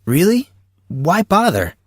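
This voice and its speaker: A man's voice: high-pitched, wildly swooping pitch, takes it slowly, no room sound, clean studio sound, pitched above the performer's own voice, full character voice. A man voicing a curious, hesitant and wry fourteen-year-old boy in an audiobook. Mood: unconvinced. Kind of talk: audiobook character dialogue.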